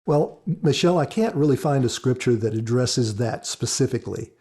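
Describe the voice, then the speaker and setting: A man with a husky voice, an author giving earnest, measured, reflective commentary on a story. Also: medium pitch, animated, dark tone. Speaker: author and podcast guest commentator.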